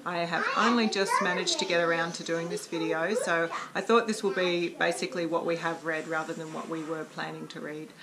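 A woman's voice: lowish voice, fairly even intonation, a little fast, hardly any pauses. A woman in her thirties with an Australian accent, chatting casually in a home vlog about books. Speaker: homeschooling parent and vlogger. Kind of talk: casual vlog book round-up. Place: room at home. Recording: children's noisy chatter in background. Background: young children playing noisily.

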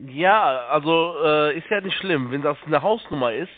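A man working as a phone agent, giving halting, repetitive step-by-step instructions over a narrow phone line. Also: fairly high pitch, animated.

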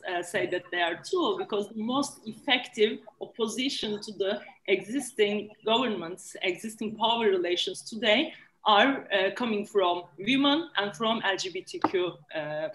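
A woman's voice: medium pitch, measured pace, a bit bright. A young woman speaking as a panelist in an online discussion, explaining at length with many fillers and restarts. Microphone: video call. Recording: echoey room on a video call.

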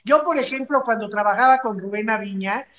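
A woman's voice: medium pitch, fairly quick, fairly bright tone. Mexican woman on echoey, dull call audio. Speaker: entertainment show panelist. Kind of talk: casual chat. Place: indoor room, video call. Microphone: video call mic.

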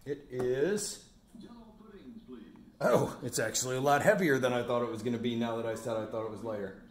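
A man's voice: mid-range voice, moderate pace. Middle-aged man thinking aloud. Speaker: vlogger running a home resale business. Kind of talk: casual vlog narration, thinking aloud.

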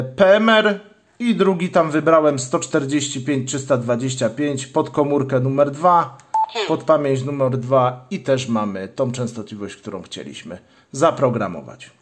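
A man's voice: mid-range voice, unhurried. A man hosting a step-by-step hands-on tutorial, explaining each stage in a methodical way. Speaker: channel host, product demonstrator.

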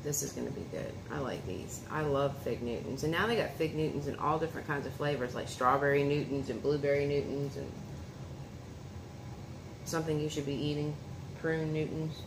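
Low-voiced woman in her 30s rambling homey about snacks at the table, roomy echo, lots of background noise. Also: normal speed. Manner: homey, talks to viewers and son while unwrapping food. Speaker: food reviewer vlogger. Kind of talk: casual chatty food tasting. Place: home dining room, indoors. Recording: echoey room with clear background noise.

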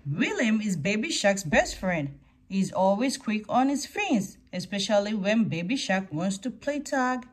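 A woman's voice: mid-range voice, very animated, measured pace. A woman reading a picture book aloud to children as a scripted story-time narration.

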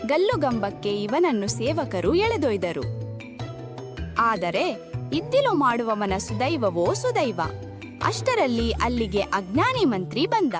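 A woman narrating a scripted children's folktale in an expressive storytelling manner. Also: fairly high pitch, slow pace. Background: background music.

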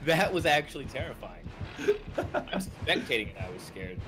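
A man's voice: medium pitch, sing-song, normal speed, a bit bright, faint music behind. A man chatting casually as he watches his friends play a game. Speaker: player spectating with friends. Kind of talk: casual gaming banter.

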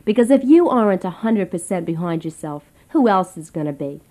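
A woman's voice: lowish voice, animated, fairly quick, warm tone, natural voice. An actress playing a breezy, callous woman leading a self-help seminar in a satirical radio comedy, glib and slangy. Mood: glib, instructive.

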